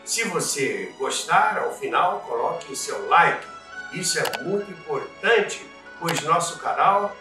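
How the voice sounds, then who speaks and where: High-pitched, slow-talking older Brazilian man. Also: bright, forceful tone. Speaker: host of a radio history channel. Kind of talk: presenter monologue to viewers. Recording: roomy, distant from the mic. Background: music.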